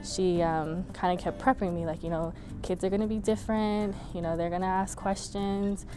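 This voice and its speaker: A woman's voice: mid-range voice, steady tone, normal speed, music in the background. Young woman reflecting with many fillers. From Brooklyn, New York. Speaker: interviewee. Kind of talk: casual personal interview.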